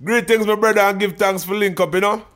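A man's voice: sits high, steady tone, fast, a bit bright. A man hosting a podcast, asking questions in a friendly, laid-back way with short interjections. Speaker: podcast host. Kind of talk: casual interview chat.

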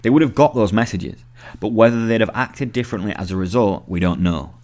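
A man's voice: lowish voice, a little fast, a little mellow. A man narrating a scripted long-form article as the journalist who wrote it. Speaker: journalist reading own article.

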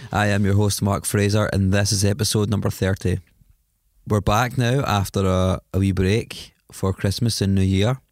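A Scottish man speaks in a fairly low voice, a little stuffed up from a cold. He rambles in a chatty, self-mocking way through his podcast intro. The sound is dry and close. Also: flat delivery, moderate pace, no echo. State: has a cold, sniffly. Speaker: podcast host. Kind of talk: solo podcast intro monologue.

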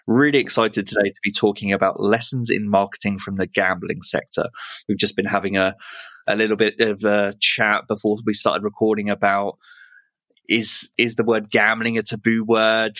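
A man's voice: lowish voice, a bit bright, no echo. A man hosting a marketing podcast, upbeat and friendly, with some filler words. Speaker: podcast host.

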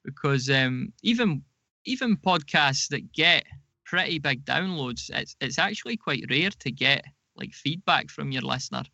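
Bright-voiced man, dry slightly dull recording. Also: on the high side, animated, measured pace, vocal fry, no room sound. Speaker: podcast guest, audio drama podcaster. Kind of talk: conversational interview answers.

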